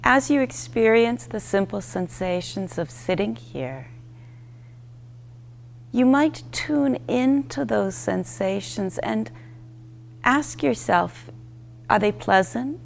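A woman teaching a guided meditation, speaking in gentle, unhurried instruction with short, spaced phrases. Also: mid-range voice, animated, gravelly, slight background noise.

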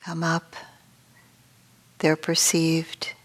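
A woman meditation teacher leading a guided meditation in a gentle, unhurried way with long pauses, her voice soft and turning breathy as phrases end. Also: sits low, monotone.

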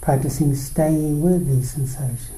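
Slow, very mellow male meditation teacher. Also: on the high side, steady tone. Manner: unhurried, pausing between short phrases. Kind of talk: guided meditation, dharma talk. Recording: roomy, echoing space.

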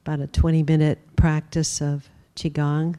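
Woman, meditation teacher, soft dark tone, very even pitch, gentle, unhurried, long pauses. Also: smooth. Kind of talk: guided meditation.

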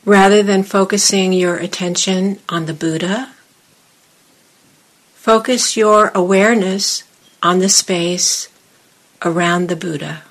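A woman meditation teacher leading a guided meditation, giving measured instructions in short phrases with long pauses. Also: medium pitch, fairly even intonation, poor audio.

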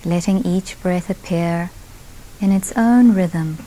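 A woman meditation teacher leading a guided meditation at a retreat, speaking calmly in short phrases with long pauses. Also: fairly low pitch, rough recording. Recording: steady background hiss.

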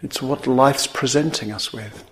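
Slow-speaking man guiding meditation. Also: mid-range voice, rough recording. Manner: measured, with long pauses between phrases. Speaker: meditation teacher. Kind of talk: guided meditation.

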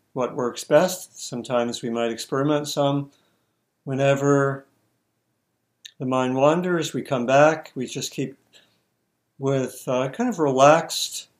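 Man, meditation teacher, medium pitch, very slow pace, measured short phrases, long pauses, guided instruction.

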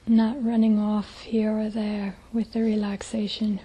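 A woman leading a guided meditation as a teacher, speaking gently in a soft, breathy, hushed voice with long pauses. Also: mid-range voice, level tone.

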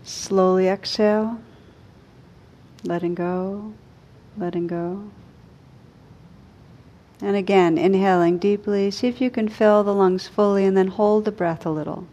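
A woman meditation teacher leading a guided meditation in a gentle, unhurried, soothing way with long pauses. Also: medium pitch, fairly even intonation.

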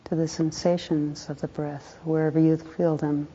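A woman meditation teacher leading a guided meditation in a soft, calm voice, unhurried, with pauses between short phrases. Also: low-pitched, breathy edge. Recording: dull, muffled lo-fi recording.

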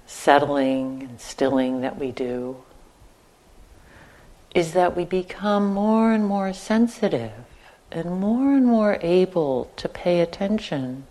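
A woman leads a guided meditation in a relaxed, mellow voice. She speaks very slowly, setting out short phrases with long pauses between them. Her pitch sits a little low, and her phrasing stays gentle and unhurried. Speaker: meditation teacher. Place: meditation retreat center.

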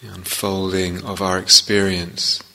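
A man teaching a guided meditation, giving gentle, unhurried instructions in short phrases with long pauses. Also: sits low, little pitch movement, vocal fry, rough recording.

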